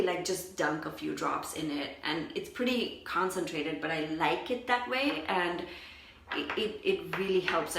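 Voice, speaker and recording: A woman's voice: on the low side, fairly bright tone. A woman, a spiritual coach, chatting and reflecting on a livestream as she answers her viewers. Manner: chatty and reflective, often says "like". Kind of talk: casual livestream talk to viewers. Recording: echoey room.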